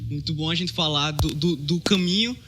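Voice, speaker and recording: Man, Brazilian Portuguese accent, higher voice, bright and forceful, earnest, halting with fillers. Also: faint music behind. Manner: earnest, hesitant with many fillers and restarts. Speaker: mission trainee. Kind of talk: casual podcast chat.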